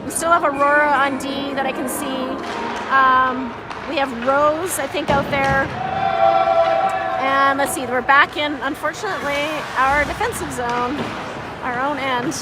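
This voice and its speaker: A woman giving informal, halting live hockey commentary as an unsure, self-deprecating amateur, with a slightly scratchy voice. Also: high voice, fairly even intonation. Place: indoor ice hockey arena. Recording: music and crowd noise behind, arena. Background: music and crowd.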